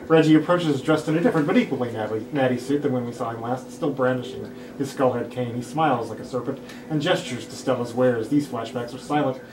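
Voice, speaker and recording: A man's voice: mid-range voice, fast, background noise. Man reading stage directions, echoey room. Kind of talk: scripted narration at a table read. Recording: echoey room, distant mic, people around.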